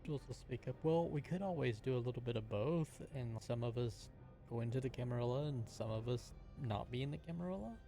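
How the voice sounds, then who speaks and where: A man's voice: medium pitch, a little fast, mellow, faint music behind. A man playing a tabletop role-playing game, talking casually in character at the table.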